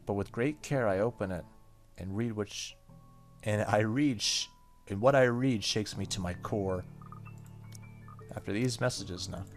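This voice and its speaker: A man's voice: lowish voice, measured pace, pauses often, faint music behind. A man hosting a gaming video, reading the story aloud and dropping in casual reactions.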